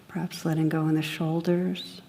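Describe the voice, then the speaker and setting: A woman guiding a meditation in a gentle, soothing manner, speaking in short phrases with long pauses. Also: deep voice, flat delivery, a little hiss or hum. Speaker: meditation guide. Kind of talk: guided meditation.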